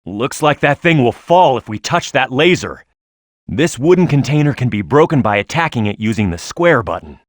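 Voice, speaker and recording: A man's voice: medium pitch, big pitch swings, moderate pace, dry recording, studio quality. An actor voicing a tough, confident young male echidna hero in a video game, giving punchy, scripted instructions. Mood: helpful, confident. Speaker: video game character giving gameplay hints.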